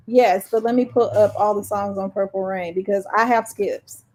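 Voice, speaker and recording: A woman's voice: medium pitch, steady tone, moderate pace, a little mellow, poor audio. A woman co-hosting a podcast, talking casually and emphatically about music as an opinionated fan. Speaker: podcast co-host.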